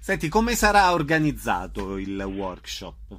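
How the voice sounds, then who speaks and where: Slow-paced male radio interviewer. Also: lowish voice, sing-song, fairly bright tone.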